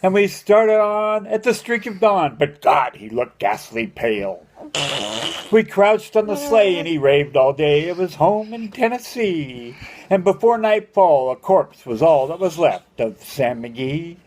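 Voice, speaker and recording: Older male, high voice, homespun grandfatherly verse reader with a theatrical, sing-song storyteller's rhythm. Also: big pitch swings, unhurried, darkish tone. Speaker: grandfather reading a poem aloud to family. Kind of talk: dramatic amateur poem recitation.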